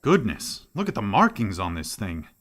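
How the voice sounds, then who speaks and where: An actor playing an earnest, astonished professor in a radio play, full of questions. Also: male voice, on the low side, wildly swooping pitch, normal speed, fairly bright tone, exaggerated voice. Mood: intrigued. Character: earnest, astonished male professor investigating the uncanny.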